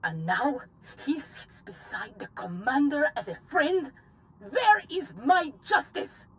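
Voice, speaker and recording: A woman's voice: high voice, sing-song, takes it slowly, a bit bright, exaggerated voice. A woman playing a grieving, embittered survivor who wants justice, in a dramatic video game scene. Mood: outraged. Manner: bitter, solemn. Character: embittered, grieving female survivor seeking vengeance.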